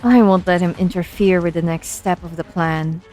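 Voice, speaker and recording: Girl voicing young banshee engineer woman, lowish voice, detached n solemn, game dub, music behind. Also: fairly even intonation, moderate pace, warm tone, natural voice. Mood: aloof. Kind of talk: fan-dub game drama acting. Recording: background music under the voice.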